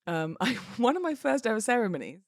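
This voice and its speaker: A woman's voice: a little high, big pitch swings, fast, no echo, studio quality. A British woman in her thirties chatting casually and expressively as a solo podcast host, in an informal, slangy way. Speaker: podcast host and wedding celebrant.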